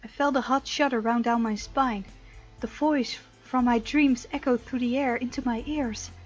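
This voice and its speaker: A woman narrates a visual novel as a gameplay host, expressive and playful, breaking into casual commentary. Her voice sits on the high side. The sound is a little lo-fi, with music underneath. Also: normal speed. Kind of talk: story narration with playful let's play commentary. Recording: dull, lo-fi sound with music behind.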